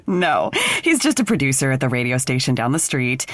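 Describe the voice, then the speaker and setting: A woman's voice: a bass voice, huge pitch swings, normal speed, no room sound, heavily acted. An actress playing an upbeat, chummy woman confiding eagerly in a friend in a scripted audio comedy. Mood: excited, gushing.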